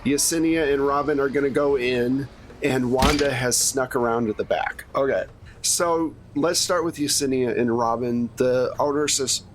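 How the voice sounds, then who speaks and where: A man's voice: medium pitch, normal speed, slight background noise. A man running a tabletop game as game master, narrating scenes casually and prompting players in a conversational, improvised way.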